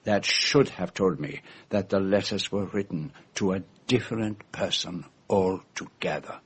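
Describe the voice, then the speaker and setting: An actor playing a fussy, shrewd foreign gentleman detective, speaking in precise, formal phrases in a radio drama. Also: low-pitched, measured pace, slightly rough. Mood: dramatic deduction. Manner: precise, theatrical, formal phrasing with French forms of address.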